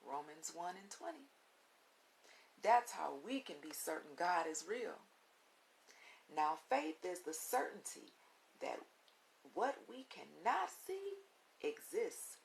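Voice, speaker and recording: A woman reading a children's book aloud as a storytime host, with deliberate, instructive phrasing and emphatic pauses, on a recording with audible hiss. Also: fairly low pitch, sing-song, creaky, rough recording. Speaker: read-along storytime host. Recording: audible hiss and background noise.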